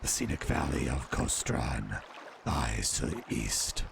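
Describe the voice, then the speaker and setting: A man voicing a proud dark elf townsman from a video game, giving a measured rundown in a deep, gravelly voice. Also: very animated, noisy background, heavily acted. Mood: informative. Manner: measured, informative recital. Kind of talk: voicing in-game dialogue in a let's play.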